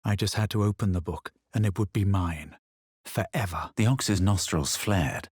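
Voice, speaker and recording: An actor playing a haughty, self-assured adventurer in a video game, with a superior, measured, dramatic delivery. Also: male voice, low voice, darkish tone, husky, dry recording, studio quality. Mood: grave narrating. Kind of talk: video game character voice lines.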